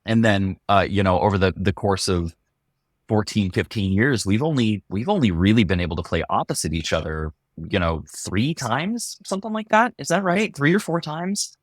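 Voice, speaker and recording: A man's voice: fairly low pitch, very animated, moderate pace, dry recording, clean studio sound. A man, an actor in a casual interview, who repeats words as he searches for his phrasing.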